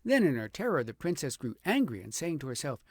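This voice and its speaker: Male audiobook reader, husky and creaky, dramatic fairy-tale delivery, close dry sound. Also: fairly high pitch, big pitch swings, fast talker, no room sound. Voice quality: gravelly, slightly husky. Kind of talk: expressive storybook narration of a fairy tale.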